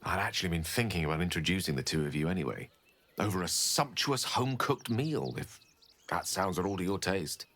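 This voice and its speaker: An actor playing an eloquent, refined wizard in a video game, speaking articulately and theatrically. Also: male voice, low voice, expressive, brisk, fairly bright tone. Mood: tender.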